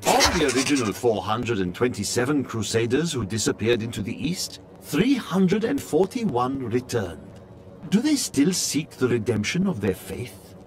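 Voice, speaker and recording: An actor playing a learned scholar-chronicler, reading his journal as grave, intrigued, deliberate dramatic narration for a fantasy video game. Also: medium pitch, big pitch swings, slight background noise, lightly acted. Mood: reflective, then questioning, portentous. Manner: theatrical, deliberate, storytelling delivery.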